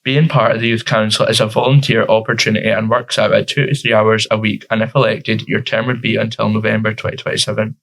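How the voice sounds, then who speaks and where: A man's voice: fairly low pitch, little pitch movement, fast, gravelly, few pauses, a bit bright, rough recording. A teenage boy with a Scottish accent, answering interview questions informally as a youth councillor, with frequent fillers. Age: teens.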